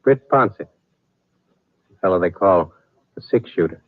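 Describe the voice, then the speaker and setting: An American actor playing a grim, vengeful cowboy drifter in a 1950s radio western, terse and deadpan with rustic speech. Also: male voice, sits low, unhurried, no echo, phone-quality sound. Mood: coldly vengeful. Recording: thin, dry old radio recording.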